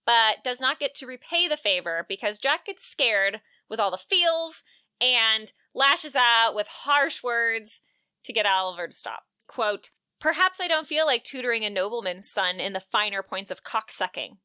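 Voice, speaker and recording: A woman co-hosting a podcast, recapping a book and reading out quotes with measured, wry storytelling. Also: fairly high pitch, animated, pushed and bright, clear, resonant tone, no echo, clean studio sound. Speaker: podcast co-host.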